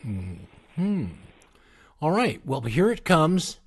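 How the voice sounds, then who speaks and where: A man's voice: fairly high pitch, very animated, drawn-out words, a little hiss or hum. A man hosting a casual music interview, introducing and asking about songs. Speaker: podcast host and interviewer.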